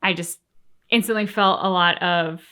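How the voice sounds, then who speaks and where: A woman's voice: fairly low pitch, steady tone, normal speed, sharp tone, smooth. A woman co-hosting a reaction show, giving thoughtful, conversational commentary in a casual chat style. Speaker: co-host of a reaction channel.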